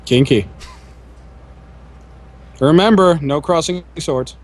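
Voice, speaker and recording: A man co-hosting a gaming podcast, firing off sarcastic, crude jokes. His voice sits a little high. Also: slow. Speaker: podcast co-host. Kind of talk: casual podcast banter.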